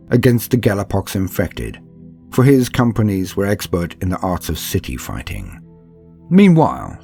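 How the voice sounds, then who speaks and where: A man reading scripted narration like an audiobook, in a calm, steady, measured manner. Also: fairly low pitch. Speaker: narrator reading a campaign book. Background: faint background music.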